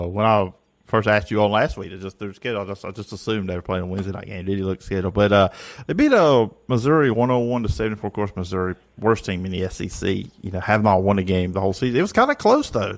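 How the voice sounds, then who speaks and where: A man hosting a casual sports talk show from a studio, chatty and energetic. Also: on the low side, no room sound. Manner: energetic, chatty, quick restarts. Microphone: studio microphone.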